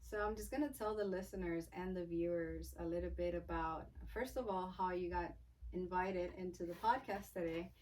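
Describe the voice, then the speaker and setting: A woman's voice: on the low side, normal speed, a little mellow, distant mic, rough recording. A woman hosting a podcast, enthusiastic and encouraging as she introduces her guest and asks questions. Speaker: podcast host. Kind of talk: casual interview hosting.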